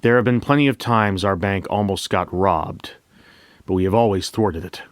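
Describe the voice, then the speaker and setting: Male narrator, fairly low pitch, for first-person audio fiction as a bank manager. Reflective, deliberate pauses, close dry sound. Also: no room sound. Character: bank manager recounting a memory heist.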